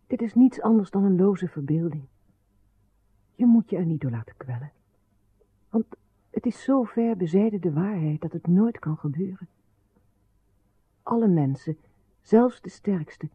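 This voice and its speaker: A breathy, tender queen. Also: fairly low pitch, no room sound. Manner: gentle, pleading, breathy. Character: tender, devoted queen soothing her royal husband. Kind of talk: radio drama acting. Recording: narrowband, dry old radio recording.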